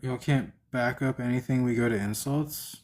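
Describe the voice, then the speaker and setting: A man's voice: mid-range voice, normal speed, darkish tone. A man hosting a live stream, debating and chatting casually in a slangy, argumentative way.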